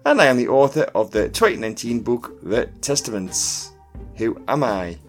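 A male quiz host reads questions and clues in a deliberate, announcing style. His mid-pitched voice moves up and down with plenty of animation. Light music plays underneath him. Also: sing-song. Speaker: pub quiz podcast host. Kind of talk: scripted quiz hosting, reading questions and clues. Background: background music.

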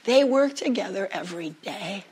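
A woman telling a folktale aloud as a storyteller, with dramatic, deliberate phrasing and pauses. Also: medium pitch, expressive, a little mellow, slight background noise. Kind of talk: live oral folktale storytelling.

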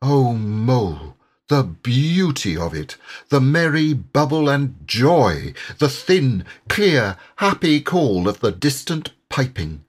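A man's voice: mid-range voice, sing-song, slow, pitched above the performer's own voice. A man voicing an awestruck, dreamy water rat in an audiobook, with breathless, yearning exclamations. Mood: rapturous urging. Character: rapturous male water rat, awestruck dreamy poetic animal. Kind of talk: audiobook character voice.

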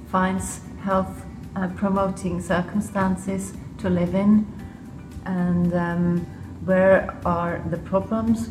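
A woman with an English accent, from Switzerland and Liechtenstein, giving unscripted interview answers as a guest in a thoughtful, hesitant way. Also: medium pitch. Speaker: interview guest, public health official. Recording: roomy echo, music behind. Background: music.

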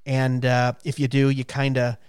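Smooth-voiced man in his thirties hosting. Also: mid-range voice, normal speed. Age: 30s. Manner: animated, laughs and exclaims when a guest scores. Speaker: podcast host. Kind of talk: casual chat, running a music quiz.

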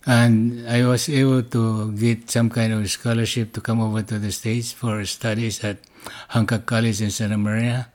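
A man in his eighties from the Philippines with a Filipino accent, reminiscing casually and pausing to search for words. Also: fairly low pitch, level tone, darkish tone. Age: 80s. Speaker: interview guest, grandfather.

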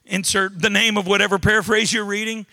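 A man's voice: sits high, level tone, fast talker, vocal fry, pushed and bright. A middle-aged man with a Southern accent from Louisiana, preaching a sermon as pastor with folksy jokes, asides and frequent restarts.

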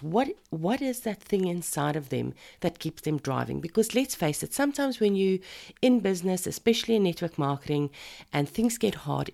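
Podcast host, woman in her 50s, low and croaky from a cold, friendly pep-talk delivery. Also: a little mellow. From Zimbabwe. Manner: chatty and encouraging, addresses the listener as a friend. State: hoarse from a cold. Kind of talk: casual motivational podcast monologue.

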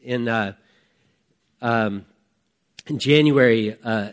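A man in his fifties preaching a conversational sermon, reflective and emphatic, with deliberate pauses and repeated phrases. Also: medium pitch. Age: 50s. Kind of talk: conversational sermon with personal testimony.

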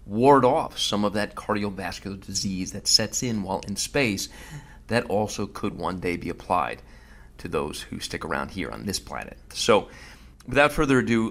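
A man's voice: fairly low pitch, a bit bright. A man hosting a podcast, narrating his visit in a casual, conversational way with fillers and restarts. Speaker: host.

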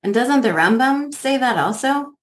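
A woman interviewing a guest with prepared questions, polite, measured and affirming. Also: sits high, animated. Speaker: podcast interviewer.

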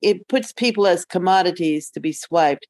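A woman's voice: lowish voice, moderate pace, a little mellow. A middle-aged woman podcast co-host chatting casually in a candid, rambling way. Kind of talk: casual chat.

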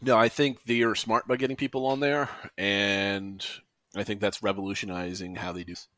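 A man co-hosting a casual podcast, talking in a relaxed way that drops into lower asides. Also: normal speed, dry recording, studio quality. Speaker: podcast co-host.

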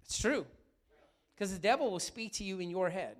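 Brief: middle-aged male pastor, high voice, slightly raspy, lively sermon that keeps asking questions. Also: moderate pace. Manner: lively, asks the room questions often.

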